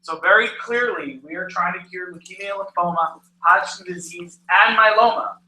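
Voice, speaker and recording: A middle-aged man giving a direct, energetic talk to an audience at a patient event, with a naturally loud voice. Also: high voice, normal speed, poor audio. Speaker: patient access manager speaking at a patient event. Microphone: handheld or stand microphone.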